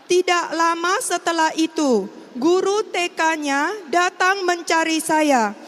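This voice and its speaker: A middle-aged woman from Riau with an Indonesian accent, giving a formal, measured prepared testimony. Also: extremely high pitch, a bit bright. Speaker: testimony speaker. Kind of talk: prepared personal testimony speech. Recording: long hall reverb, faint background noise.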